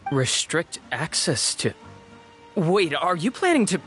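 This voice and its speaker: Game officer: mid-pitched, earnest and dutiful, crisp formal phrasing, music softly underneath. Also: male voice, big pitch swings, takes it slowly, full character voice. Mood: concerned. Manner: formal, precise reporting. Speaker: game voice actor. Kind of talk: dramatic video game acting.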